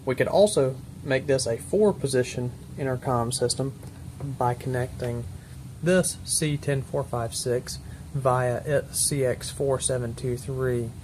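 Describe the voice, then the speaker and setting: Male demonstrator, medium pitch, unscripted technical explainer, methodical and plain-spoken, noisy room recording. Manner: methodical, matter-of-fact, precise with detail. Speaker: presenter demonstrating military intercom equipment.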